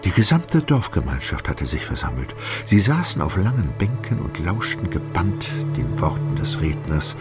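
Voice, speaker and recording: An actor narrating a mystery adventure audio drama as a grave, suspenseful storyteller. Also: male voice, low voice, big pitch swings, moderate pace, over music.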